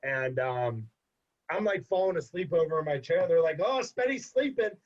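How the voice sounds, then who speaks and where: A young man in casual podcast talk, telling a long story with animated repeats for emphasis. His voice sounds congested. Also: fairly high pitch, moderate pace. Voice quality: husky, congested. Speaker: podcast guest. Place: home. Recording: dull lo-fi podcast audio.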